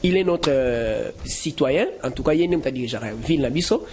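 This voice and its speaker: A man's voice: fairly high pitch, normal speed, darkish tone, a little hiss or hum. A man from Kinshasa giving emphatic answers in an interview, often firing indignant questions. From Kinshasa, Congo. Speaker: guest. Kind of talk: interview in mixed French and Lingala.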